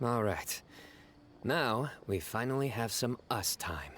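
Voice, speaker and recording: A man voicing a stern, deadpan young male judge who speaks in a dry, measured way, in a game. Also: medium pitch, slight background noise. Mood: calm.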